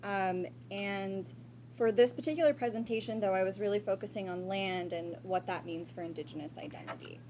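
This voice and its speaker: A woman's voice: mid-range voice, a little fast, darkish tone. Young woman answering questions, slightly noisy recording. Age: young adult. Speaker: student researcher answering questions. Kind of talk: semi-formal conversational Q&A. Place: live conference room. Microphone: shared event microphone.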